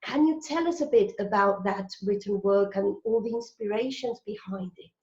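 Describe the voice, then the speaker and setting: A woman's voice: medium pitch, normal speed, darkish tone. A woman hosting an online interview show in an enthusiastic, effusive way over a video call. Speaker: host. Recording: roomy, dull video call audio.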